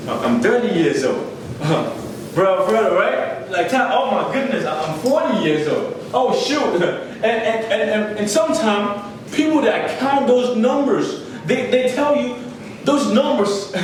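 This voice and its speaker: A man's voice: high-pitched, lively intonation, slow pace. Chatty male preacher, repeats himself, faint hiss behind, recorded from a distance. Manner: conversational preaching with many repeated words and laughs. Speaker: pastor. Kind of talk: sermon. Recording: echoey hall, mic far off, faint noise.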